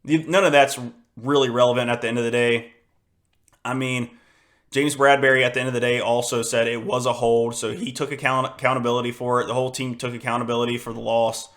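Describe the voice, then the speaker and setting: Young guy, hoarse, kinda losing his voice, flat monotone rambling about football, lots of ums. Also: mid-range voice, fast talker. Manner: rambling, frustrated, many fillers and restarts. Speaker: podcast host. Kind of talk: casual solo sports podcast.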